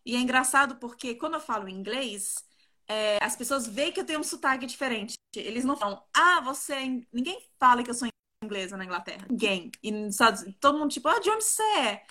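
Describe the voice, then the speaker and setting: A woman's voice: fairly high pitch, fast, bright, forceful tone. A woman, chatting casually and a little giggly as an interview guest on a video call. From Brazil, partly raised in South Korea. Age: 20s. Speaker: interview guest, professional flautist.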